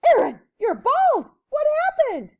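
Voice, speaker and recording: A shrill, bossy storybook mother, acted by a woman reader. She exclaims in alarm, very high and with sweeping pitch. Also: huge pitch swings, unhurried, vocal fry, heavily acted. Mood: shocked. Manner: exclaiming, yelling. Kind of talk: children's storybook read-aloud.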